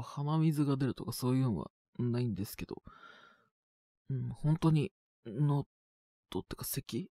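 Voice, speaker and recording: A man acts out a young male student down with a cold in an audio drama. His voice is husky and breaks into coughs, with big swings in pitch. He talks slowly and casually, polite but mildly exasperated, in trailing phrases. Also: no room sound, clean studio sound, exaggerated voice. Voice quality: husky, with frequent coughing fits. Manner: casual youthful speech, trailing hesitant phrases. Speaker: voice actor. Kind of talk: one-sided situation voice drama.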